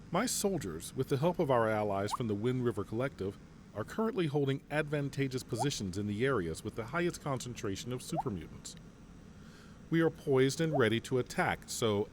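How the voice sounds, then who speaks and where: A man playing a composed general who leads a mercenary company, speaking with calm, assured authority. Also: mid-range voice, slight background noise, natural voice. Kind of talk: fan audio drama acting.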